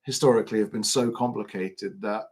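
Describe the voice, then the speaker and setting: A man's voice: lowish voice, steady tone, fairly quick. Chatty English man co-hosting a podcast. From England. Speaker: podcast co-host. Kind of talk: casual livestream chat.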